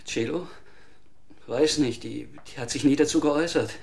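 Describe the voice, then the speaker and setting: A man's voice: on the high side, creaky. An actor in a radio drama playing a nervous man under questioning who dodges and turns defensive. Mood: evasive, defensive. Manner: evasive, defensive, quickly flustered.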